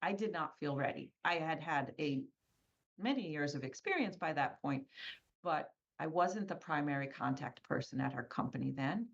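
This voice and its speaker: Earnest woman, low voice, dull sound. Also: animated, moderate pace, creaky. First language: Polish. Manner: earnest, tag questions "right?", emphatic repetition. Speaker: guest, scaffolding business owner. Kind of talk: conversational interview.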